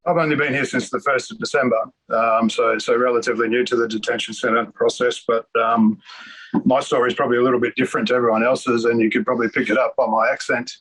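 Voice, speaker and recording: A man who grew up in Australia telling his story in a measured, reflective way over a video call, with frequent ums. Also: medium pitch, flat delivery, fairly bright tone, breathy edge, rough recording. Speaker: detainee interviewee.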